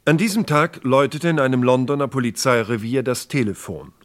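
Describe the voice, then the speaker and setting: Man as sober, mid-pitched crime case narrator. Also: expressive, close to an everyday voice. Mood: sober, factual. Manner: measured, matter-of-fact. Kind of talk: 1960s documentary radio drama narration.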